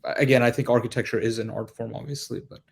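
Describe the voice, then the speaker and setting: A man moderating a panel discussion, thinking aloud in reflective, articulate and deliberate phrases. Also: mid-range voice, fairly even intonation, creaky, breathy edge. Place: live panel discussion at a festival.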